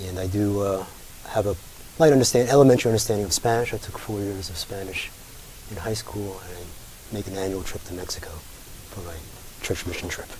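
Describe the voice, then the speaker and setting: A man's voice: on the low side, steady tone, darkish tone, rough recording. Hesitant man with faint hiss. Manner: hesitant, halting. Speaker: school board candidate.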